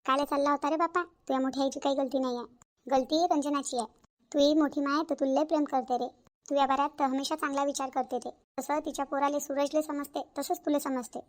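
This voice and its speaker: A woman's voice: high-pitched, steady tone, fast talker, close to an everyday voice. A woman playing an outspoken village aunt in a cartoon family drama, with a Varhadi Marathi accent from Vidarbha and an emphatic, repetitive, colloquial way of talking. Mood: consoling. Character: outspoken village aunt, sister-in-law, frank peacemaker.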